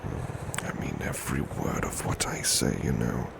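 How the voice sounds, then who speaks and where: A man playing a devoted, tender servant in an intimate ASMR roleplay, murmuring sleepily and half whispering, with loud noise behind him. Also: unhurried, close to an everyday voice. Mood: sleepy, tender. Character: devoted, tender male servant cuddling his beloved. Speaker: ASMR voice actor.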